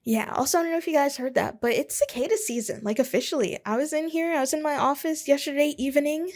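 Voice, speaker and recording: A woman's voice: high-pitched, fast, a little mellow. A woman in her twenties hosting a casual solo podcast from her home office, rambling and self-deprecating with chuckles and asides. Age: 20s. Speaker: podcast host.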